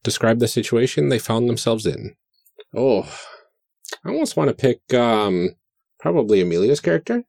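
A man chatting casually while making a tabletop game character, hesitant and pausing between phrases. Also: mid-range voice, expressive, creaky, darkish tone, no echo, clean studio sound. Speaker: podcast host and player.

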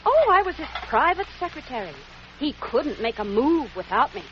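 An actress playing a sassy young woman posing as a cook in 1940s radio acting, teasing and quick with a put-down. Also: high-pitched, very animated, fairly bright tone. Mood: dreamy. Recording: dull old radio broadcast with hiss.

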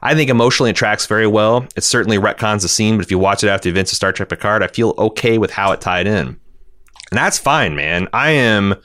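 A man with a fairly low voice hosts a casual discussion podcast. He thinks aloud, rambling and doubling back on his words as he works through an opinion or reads out feedback. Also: lively intonation, bright, forceful tone. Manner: halting, rambling, repeats words as he reasons. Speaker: podcast host reading listener feedback.

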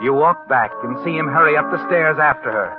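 Tinny old radio show, music under a guy playing a knowing suspense narrator, highish voice, insinuating. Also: lightly acted. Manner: insinuating, deliberate, dramatic. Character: sly, knowing mystery narrator, a man, addressing the character. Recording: vintage radio, phone-like, music behind. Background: dramatic music.

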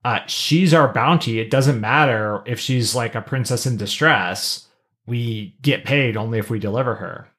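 A middle-aged podcast co-host chatting casually, with an informal, halting delivery and slangy asides. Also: mid-range voice, fairly bright tone. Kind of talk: casual chat.

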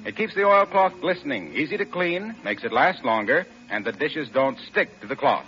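An American man working as a 1940s radio announcer, delivering folksy, polished sponsor pitches and introductions. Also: big pitch swings, normal speed, sharp tone, faint music behind. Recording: dull, lo-fi 1940s radio recording.

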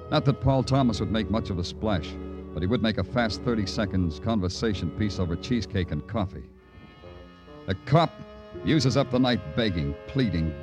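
Hard-boiled, weary detective played by an actor, animated pitch. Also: male voice, lightly acted. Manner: clipped, hard-boiled, terse. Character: tough, weary New York police detective lieutenant. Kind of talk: 1950s radio crime drama acting and narration. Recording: old radio broadcast with music behind.

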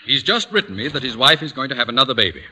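An actor playing a pompous, scheming middle-aged school principal in an old radio comedy, officious and wordy. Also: male voice, medium pitch, very animated, racing, close to an everyday voice. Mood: pleading. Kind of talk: 1940s-50s radio comedy acting. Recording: noisy old radio recording with clicks.

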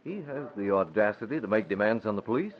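An actor playing a cool, shrewd boss with a secret in an old-time radio drama, measured and dryly ironic. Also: male voice, fairly low pitch, animated, close to an everyday voice. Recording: reverberant, muffled, noisy old radio recording.

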